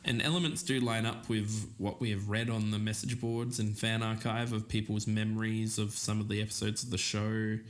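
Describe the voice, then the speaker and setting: A man's voice: on the low side, flat delivery, moderate pace, few pauses, roomy sound, natural voice. An actor playing an earnest amateur podcast host, speaking conversationally and a little hesitantly as he thinks aloud. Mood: thoughtful.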